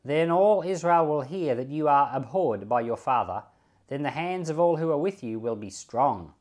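A man's voice: fairly high pitch, lively intonation, normal speed, darkish tone, natural voice. Man reading as a matter-of-fact scheming counsellor. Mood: advising. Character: cold scheming royal counsellor.

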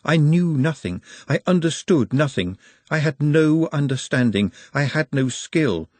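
A man voicing an earnest, formal male dwarf who speaks slowly and literally. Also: on the high side, animated, dry recording, voice pushed up. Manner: slow, measured and literal.

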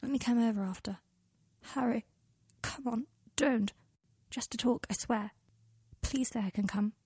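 A woman reads a lovesick young man in an audiobook story, remorseful and pleading. The voice is husky, in a close dry sound. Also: on the high side, a little slow, darkish tone, dry recording. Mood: pleading, breathless.

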